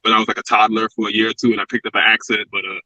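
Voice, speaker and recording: A man with a Brooklyn accent talks quickly and reflectively, circling back with fillers as he tells his story. The sound is dry and close, with a dull, narrowed lo-fi quality. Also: on the low side, little pitch movement, sharp tone, dry recording. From Brooklyn, New York. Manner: reflective, rambling with restarts and fillers. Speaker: guest, former pro wrestler. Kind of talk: casual interview talk.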